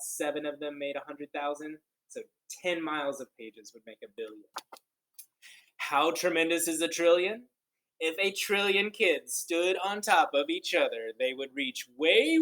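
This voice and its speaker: A man reading a children's book aloud like a teacher, expressive and playful, drawing out key words and dropping in casual joking asides. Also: on the high side, poor audio. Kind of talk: children's storybook read-aloud with casual commentary.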